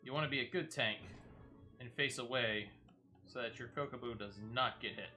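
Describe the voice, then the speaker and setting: A man with a bright, forward voice at a middling pitch commentates casually on a video game. He talks through each move as he makes it and explains tips for viewers step by step, like a streamer guiding an audience. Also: moderate pace, soft background music. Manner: explains step by step, talking through own actions.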